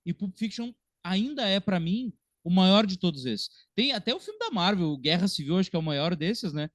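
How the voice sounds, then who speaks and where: A man's voice: sits high, big pitch swings, normal speed, no echo. A man with a southern Brazilian accent in a casual film discussion as a podcast panelist, talking in an informal, slangy way.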